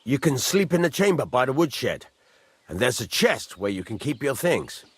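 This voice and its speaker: An actor playing a gruff middle-aged village blacksmith in a video game, husky, blunt and rustic, giving plain instruction. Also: male voice, medium pitch, big pitch swings, normal speed, no echo. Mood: matter-of-fact. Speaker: master blacksmith. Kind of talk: video game character acting.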